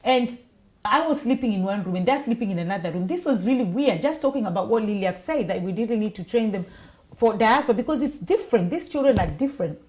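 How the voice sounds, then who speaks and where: A woman's voice: on the high side, fast talker. Woman hosting a talk show, chatty, tells stories and does the voices. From Kenya. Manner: animated storytelling, acts out voices. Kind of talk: casual panel chat.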